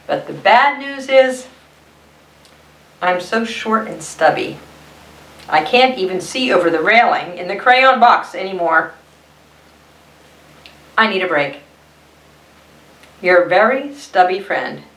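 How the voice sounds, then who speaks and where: A woman's voice: a little high, fairly bright tone. A woman voicing a timid, humble, worn-out little blue crayon with hesitant, polite delivery in a children's storybook read-aloud. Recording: roomy echo, distant mic.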